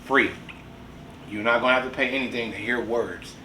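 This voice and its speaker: A man's voice: medium pitch, steady tone, normal speed, sharp tone, roomy sound, far from the mic, a little hiss or hum, poor audio. A man in his forties hosting a casual podcast discussion, talking earnestly and preachily and often asking "you know what I'm saying?". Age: 40s. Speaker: podcast host.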